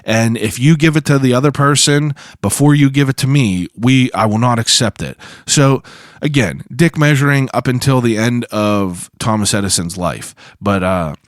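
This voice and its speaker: A hoarse man hosting a podcast, telling a story in a loose, rambling way full of slang. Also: medium pitch, normal speed, no echo, clean studio sound. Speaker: podcast host. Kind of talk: casual podcast monologue.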